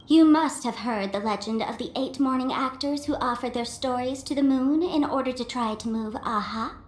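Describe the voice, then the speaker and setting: A woman's voice: sits high, moderate pace, echoey room, lightly acted. A woman voicing a sly, teasing, theatrical young female trickster in an anime-style video game. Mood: theatrical storytelling.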